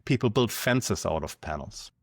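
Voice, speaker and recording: German-accented man, low, dry. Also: expressive, breathy edge, no echo. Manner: measured, explanatory, numbers-driven. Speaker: guest, company founder. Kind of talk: podcast interview.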